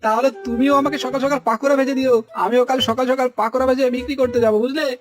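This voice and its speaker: Man as a high-voiced, quick-talking earnest village vendor. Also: steady tone. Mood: eager. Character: earnest, hard-working village snack seller and husband. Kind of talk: dramatized cartoon dialogue. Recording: music bed behind the voice.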